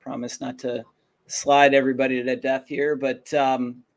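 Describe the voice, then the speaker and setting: A man hosting a live webinar with a slightly raspy voice, presenting a product in a loose conversational way with restarts. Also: mid-range voice, flat delivery, normal speed.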